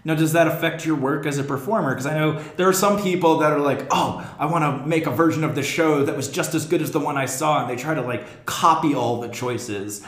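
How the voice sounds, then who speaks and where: A man's voice: a little high, a little fast, few pauses. Echoey-voiced man hosting a casual discussion. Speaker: podcast host and theater director. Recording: roomy, echoey room sound.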